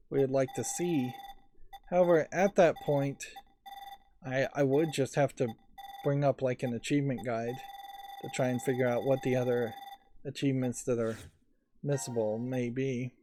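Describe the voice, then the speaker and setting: A man doing casual gameplay commentary and reading aloud, halting as he thinks out loud. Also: mid-range voice, steady tone, slight background noise. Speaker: let's play host. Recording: quiet game music behind. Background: game music.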